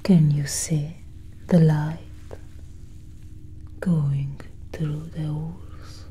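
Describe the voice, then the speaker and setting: A woman playing a curious, coolly possessive female alien doctor in a soft, whispered ASMR roleplay, breathy and close to the microphone. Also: deep voice, level tone, a little hiss or hum. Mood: calm, clinical. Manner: slow, deliberate, hushed.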